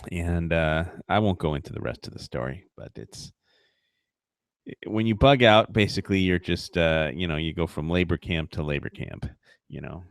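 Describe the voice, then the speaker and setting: A man with a low voice hosts a casual livestream, talking in loose, rambling commentary. He swears and mocks freely, filling gaps with uh and you know. The sound is dry and close to the mic. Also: moderate pace, creaky, long pauses, no echo. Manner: profane, mocking, rambling with frequent fillers. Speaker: livestream host.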